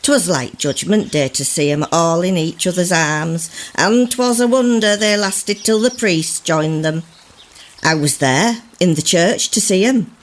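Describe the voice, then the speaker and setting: A woman's voice: fairly low pitch, unhurried, lightly acted. A woman playing a sanctimonious village gossip of olden times, confiding and insinuating in an archaic radio drama monologue. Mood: sly, insinuating. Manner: confiding, mock-pious, insinuating.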